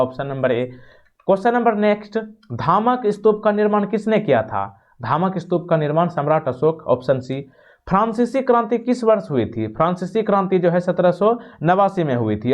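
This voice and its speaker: Higher-pitched male quiz teacher. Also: a little mellow. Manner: instructive, repeats key points, frequent check-in tags. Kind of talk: exam-prep quiz lesson, reading questions and giving answers. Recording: dull, muffled lo-fi microphone.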